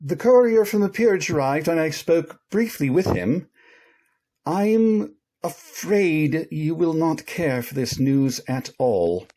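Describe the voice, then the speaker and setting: An actor playing a duke's haughty, loyal retainer in a fantasy radio drama, with a rough voice and formal, theatrical delivery. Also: male voice, a little high, animated, slow pace, warm tone. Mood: grave, deferential.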